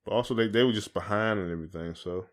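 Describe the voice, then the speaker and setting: Man, American accent, lowish voice, podcast co-host, informal rambling conversation. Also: fast talker. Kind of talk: casual podcast chat.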